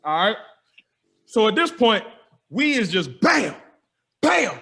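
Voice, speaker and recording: A young man, a podcast host telling a story with lively energy, slangy and acting out the dialogue. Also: sits high, slow, vocal fry, slightly rough. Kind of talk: animated storytelling.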